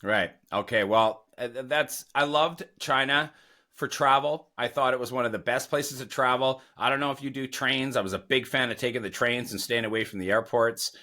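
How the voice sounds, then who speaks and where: A man's voice: medium pitch, normal speed, sharp tone. A man hosting a podcast, chatting enthusiastically with a guest and offering tips and asides. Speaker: podcast host. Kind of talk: casual podcast interview.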